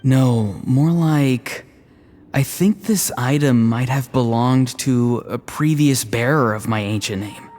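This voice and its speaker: A man's voice: mid-range voice, takes it slowly, lightly acted. A man voicing an easygoing, thoughtful, slightly cheeky young tribesman in a video game. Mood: curious. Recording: reverberant, soft music behind.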